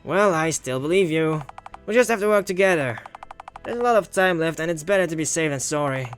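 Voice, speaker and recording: A man voicing earnest teenage friends in visual novel dialogue, expressive and conversational, as they debate a mystery. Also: fairly high pitch, brisk, soft background music, lightly acted.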